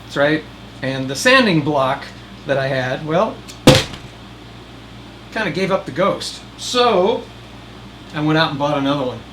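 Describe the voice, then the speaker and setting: A man's voice: a little high, big pitch swings, a little slow, pauses often, noisy background. A man hosting a DIY video in a casual, folksy way, with wry asides to the viewer. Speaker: host of a DIY video channel. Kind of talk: casual chatty DIY narration.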